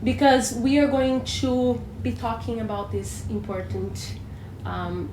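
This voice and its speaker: Slow, echoey Brazilian woman co-host. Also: a little high, slight background noise. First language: Portuguese. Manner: animated, restarts phrases often. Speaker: podcast co-host. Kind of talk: casual teaching chat. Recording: echoey room, faint ambience.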